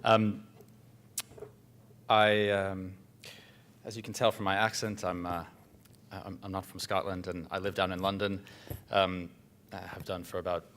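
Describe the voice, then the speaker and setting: Man, conference presentation, somewhat low voice, halting delivery, frequent fillers and restarts. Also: level tone, a bit bright, slight background noise. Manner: hesitant, many fillers and restarts. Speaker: economic consultant presenting at a conference. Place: conference hall.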